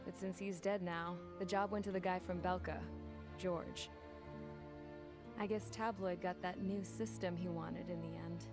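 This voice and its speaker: An actress playing a gritty, wry mechanic in a game cutscene, blunt and colloquial. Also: female voice, fairly low pitch, fairly even intonation, measured pace, over music. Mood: dry, reflective. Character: gritty, wry female mechanic, plain-spoken and resourceful. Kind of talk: dramatic game cutscene narration. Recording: loud musical score behind.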